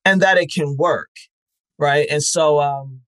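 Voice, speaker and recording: A man speaking as an interview guest in a reflective, conversational way, earnest and halting, repeating words as he thinks. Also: a little high, fairly bright tone, dry recording. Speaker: interview guest, festival founder.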